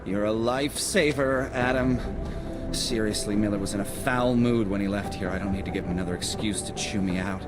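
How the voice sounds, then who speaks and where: Guy doing chatty nervy game investigator, lowish voice, wry n rambling, cheeky. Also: a little fast, over loud music, lightly acted. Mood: breezy, teasing. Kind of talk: video game cutscene acting.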